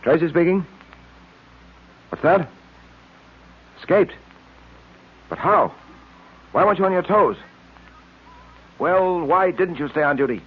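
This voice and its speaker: Shrewd, firm male detective, husky and clipped, 1940s radio style, narrow-band sound. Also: fairly high pitch, animated, takes it slowly, long pauses, full character voice. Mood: brisk, irritated. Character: brisk, authoritative, shrewd male police detective. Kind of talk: 1940s radio drama acting. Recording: tinny, band-limited old radio recording.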